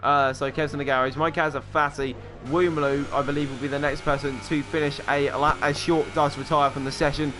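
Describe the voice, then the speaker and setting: A man commentating a sim racing qualifying session, calling laps quickly and excitedly, with offhand asides. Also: a little high, over music. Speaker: race commentator.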